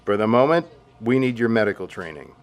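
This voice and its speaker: A man's voice: on the low side, sing-song, a little fast. An actor playing a firm, authoritative male general in an audio drama, speaking in a curt, commanding way.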